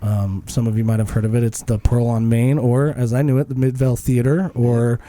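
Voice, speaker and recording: A man's voice: mid-range voice, level tone, fairly quick, dark tone. A man hosting a casual podcast, a bit nasal from a cold, joking and rambling. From Utah. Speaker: podcast host.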